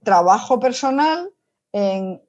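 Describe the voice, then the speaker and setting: Emphatic woman, high voice, lo-fi sound. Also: lively intonation, slow pace. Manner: emphatic, forceful, informal, with rhetorical questions. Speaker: psychologist, interview guest. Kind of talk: conversational interview, explaining opinions. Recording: dull lo-fi audio.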